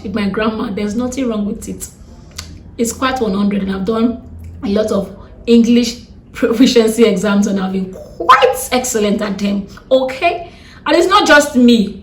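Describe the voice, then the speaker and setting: A young woman with a strong Nigerian accent talks fast and bluntly straight to camera, fed up and defiant. Her voice sits at a middle pitch for a woman. The room around her is echoey. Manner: fast-talking, blunt and exasperated. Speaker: vlogger. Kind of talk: ranting vlog to camera.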